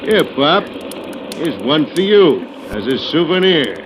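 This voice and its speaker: Cocky American hoodlum, swooping delivery. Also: male voice, on the high side, very animated, a little slow. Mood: smug. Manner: taunting, bossy, slangy. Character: cocky, mocking male hoodlum gang leader. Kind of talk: 1940s radio crime drama acting. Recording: noisy old radio recording.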